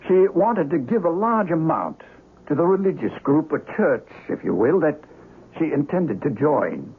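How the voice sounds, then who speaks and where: An actor playing a grave, learned investigator of the supernatural in a radio mystery, speaking formally and deliberately. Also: male voice, fairly high pitch, big pitch swings, breathy edge, exaggerated voice. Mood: grave, knowing. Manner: precise, formal, deliberate, theatrical. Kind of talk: radio mystery drama acting. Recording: noisy dull radio broadcast recording.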